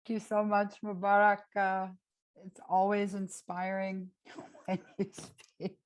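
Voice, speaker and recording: A woman's voice: mid-range voice, little pitch movement, slow. A woman moderating a webinar, thanking one speaker and introducing the next. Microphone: video call.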